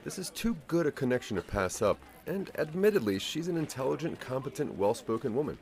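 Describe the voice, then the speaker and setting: A man narrating a scripted audio drama in the second person, with a measured storytelling delivery. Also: mid-range voice, big pitch swings, darkish tone.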